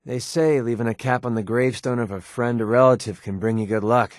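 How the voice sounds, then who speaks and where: A man's voice: mid-range voice, fairly even intonation, brisk, no echo, close to an everyday voice. Man acting a wry young American mercenary, dry sound. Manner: casual, sardonic, grumbling. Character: wry young male mercenary sniper in a post-apocalyptic wasteland. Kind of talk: video game companion dialogue.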